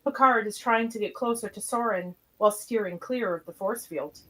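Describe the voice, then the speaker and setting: A woman's voice: mid-range voice, moderate pace, bright, forceful tone. A woman reading scripted stage directions as narrator of an amateur radio play.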